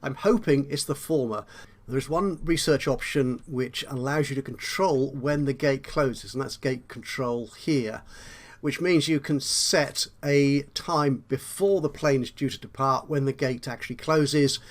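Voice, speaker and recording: A man's voice: mid-range voice, measured pace. Man explaining a video game tutorial. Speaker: tutorial host.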